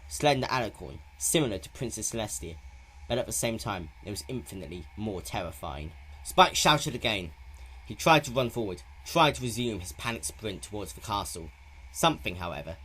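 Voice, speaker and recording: Male narrator, lowish pitch, very animated intonation, dramatic story reading, slightly noisy amateur recording.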